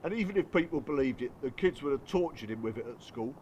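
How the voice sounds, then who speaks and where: A man's voice: medium pitch, expressive, fast, a little mellow, dull audio, a little hiss or hum, natural voice. An actor playing a bitter, working-class English father in a radio drama, confessing his hurt in blunt, colloquial, strained speech. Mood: bitter, upset. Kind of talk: radio drama dialogue and monologue.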